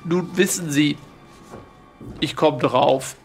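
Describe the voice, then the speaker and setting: A man voicing a courteous young lord in a horror audio drama, measured, musing and speculative. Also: a little high, creaky, faint music behind.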